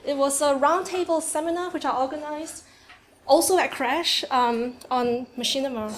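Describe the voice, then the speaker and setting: A woman's voice: sits high, moderate pace. Woman with a Singaporean accent presenting. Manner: lively, with laughs and fillers. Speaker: conference speaker. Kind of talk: academic presentation. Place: seminar room. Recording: echoey room, faint noise.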